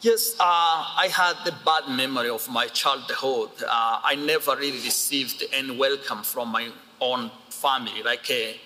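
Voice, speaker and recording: Bright-voiced man from Rwanda, echoey. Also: medium pitch, normal speed, hardly any pauses, slight background noise. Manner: brief, quiet agreeing replies. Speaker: interview guest. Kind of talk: short answers in an interview.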